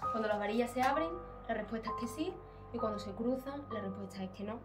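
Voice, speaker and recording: A woman from a paranormal team explains things to camera in a Spanish accent from Spain. Her voice is on the high side. She is picked up from a little distance, with music clearly behind her. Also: quick pace. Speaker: paranormal investigator presenting to camera. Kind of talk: unscripted explanation to viewers.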